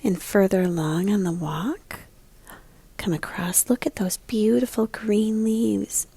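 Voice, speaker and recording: A woman art teacher leading a picture book lesson for young children in a guiding way, with a breathy, husky voice. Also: medium pitch, steady tone, slow, warm tone, no room sound. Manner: guiding, prompts listeners with questions.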